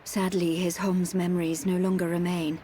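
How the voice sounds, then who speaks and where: A woman playing a gentle, sorrowful member of a machine race in a video game, formal and measured. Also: fairly low pitch, little pitch movement, slight background noise, close to an everyday voice. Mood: solemn. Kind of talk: video game cutscene acting.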